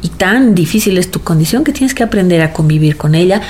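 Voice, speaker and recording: Mellow Bolivian woman, noisy recording. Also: on the low side, animated, a little fast. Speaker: interviewee from a mining community. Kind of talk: reflective personal testimony. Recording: clear background noise.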